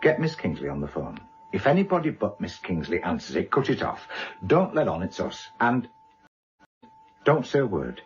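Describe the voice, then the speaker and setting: A man's voice: deep voice, huge pitch swings, moderate pace, dull audio, faint music behind, heavily acted. A man acting a senior police inspector in a radio drama, terse and authoritative, barking clipped orders. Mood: brisk, commanding.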